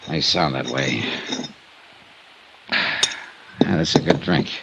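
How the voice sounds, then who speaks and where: An actor playing a tough, weary insurance investigator in a vintage radio detective drama, terse, dry and probing. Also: male voice, a bass voice, slow pace, vocal fry, fairly bright tone, breathy edge, full character voice, poor audio. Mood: probing, accusing. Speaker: lead and first-person narrator. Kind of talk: 1950s radio detective acting.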